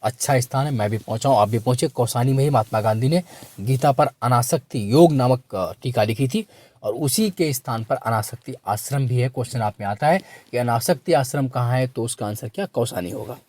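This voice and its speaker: A man with a hoarse, sore-throated voice teaching a quiz lesson for exam students, repeating questions and prompting listeners to answer. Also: mid-range voice, fairly quick, a little mellow, no room sound.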